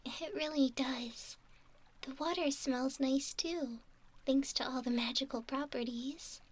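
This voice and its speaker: A woman voicing a gentle, curious young mermaid in a soft, often whispery ASMR roleplay, soothing and polite. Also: sits high, slow pace. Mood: soothing, caring.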